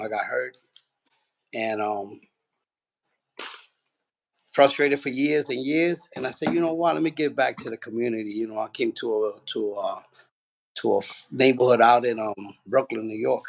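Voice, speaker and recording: A man from Puerto Rico, a boxing trainer, telling his story in a relaxed, rambling way with lots of fillers. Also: lowish voice, gravelly, rough recording. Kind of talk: casual interview.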